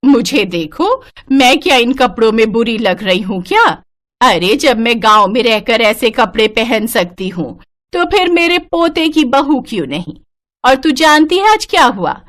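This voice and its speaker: A woman's voice: on the high side, big pitch swings, measured pace, a bit bright. A woman voicing a feisty, modern old village grandmother in a cartoon story. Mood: indignant, proud. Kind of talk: animated moral-story cartoon dialogue.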